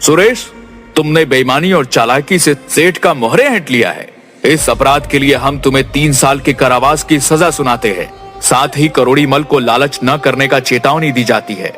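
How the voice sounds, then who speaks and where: A man's voice: medium pitch, normal speed, a bit bright, natural voice. A man voicing a fair-minded king in a cartoon story, formal and authoritative. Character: stately, fair-minded king judging his subjects. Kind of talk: cartoon moral story acting. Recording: music behind.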